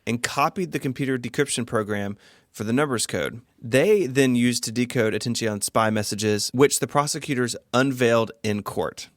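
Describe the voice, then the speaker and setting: A man's voice: mid-range voice, moderate pace, no echo. Man co-hosting a podcast, dry close sound. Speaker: podcast co-host. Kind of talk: semi-scripted conversational podcast narration.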